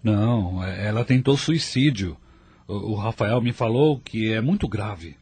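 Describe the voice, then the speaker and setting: Deep-voiced worried father. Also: unhurried, darkish tone, husky. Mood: grave, reflective. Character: worried, protective father of a grown daughter. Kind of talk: radio soap opera acting.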